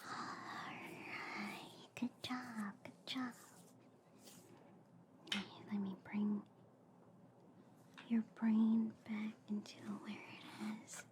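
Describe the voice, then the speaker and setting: A woman whispering softly and soothingly in an ASMR roleplay as a gentle, reassuring alien doctor, close to the microphone. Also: fairly high pitch, steady tone, very slow, pauses often. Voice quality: soft, breathy whisper.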